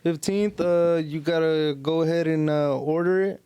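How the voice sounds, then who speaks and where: A man with a smooth, slightly high voice chats casually as a podcast co-host. His talk is slangy and full of swearing, and the sound is close and dry. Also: fairly even intonation, normal speed, mellow, no echo. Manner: slangy, profane. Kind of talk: casual chat.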